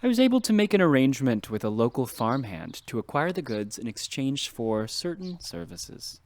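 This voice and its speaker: An actor playing an evasive priest with something to hide in a comic radio drama, guarded and deliberate. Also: male voice, medium pitch, very animated, gravelly, darkish tone, dry recording. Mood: sly, guarded. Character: evasive male priest with a shady secret.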